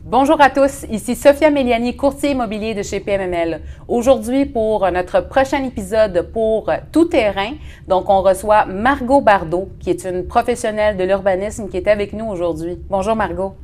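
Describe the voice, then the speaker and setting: A woman's voice: medium pitch, normal speed, a little mellow. A woman, hosting a podcast and introducing her guest in a conversational interview style. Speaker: podcast host, real estate broker.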